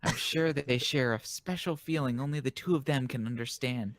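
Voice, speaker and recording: A man's voice: mid-range voice, brisk, no echo. A man casually reading manga lines aloud and reacting as a co-reader in an amateur dub.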